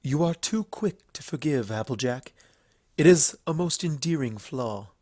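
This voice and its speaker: A man voicing a solemn, guilt-burdened pony princess in a storybook reading, speaking formally and gravely in measured phrases. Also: mid-range voice, animated, darkish tone, dry recording, clean studio sound. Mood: gently fond. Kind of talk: fan fiction storybook reading.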